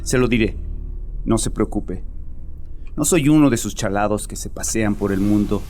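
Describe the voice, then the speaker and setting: A man's voice: on the low side, moderate pace, darkish tone, slight background noise, lightly acted. An actor playing a bitter, anguished man in his late twenties confessing to a therapist in an audio drama, defensive and scornful. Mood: defiant, scornful. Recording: music under the voice.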